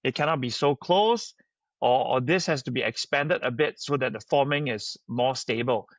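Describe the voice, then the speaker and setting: A man's voice: mid-range voice, normal speed, no echo. Singaporean man, dry slightly dull recording. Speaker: company founder, podcast guest. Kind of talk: conversational interview answers, anecdotal.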